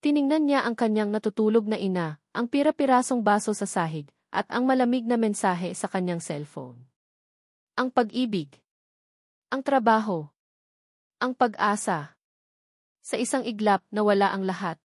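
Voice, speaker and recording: A woman's voice: mid-range voice, animated, normal speed, long pauses, no room sound, clean studio sound. A woman storyteller giving a scripted dramatic narration in an emotive, solemn way.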